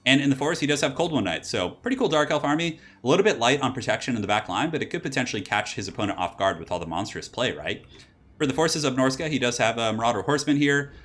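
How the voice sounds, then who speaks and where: A young man commentating a competitive strategy game on his own stream. His voice is slightly raspy, and he talks quickly in a loose, rambling way, breaking into excited exclamations at the plays. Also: mid-range voice. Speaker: esports caster and channel host.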